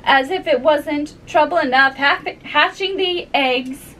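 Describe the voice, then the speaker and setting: A very high, shrieking mother pigeon from a storybook, played by a woman reader. She is indignant, put-upon and full of complaint. The voice sits back from the mic with faint noise behind it. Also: unhurried, fairly bright tone, far from the mic. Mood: aggrieved complaint. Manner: exasperated, complaining, scornful. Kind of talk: storybook character voice.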